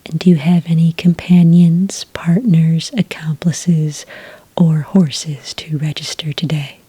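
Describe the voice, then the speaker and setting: A woman playing a friendly, patient licensing clerk in a soft, breathy ASMR roleplay, speaking gently and politely close to the microphone. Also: sits low, level tone, exaggerated voice. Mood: calm, polite. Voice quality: breathy, soft, near-whisper. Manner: gentle, unhurried, politely official. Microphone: close, dry microphone.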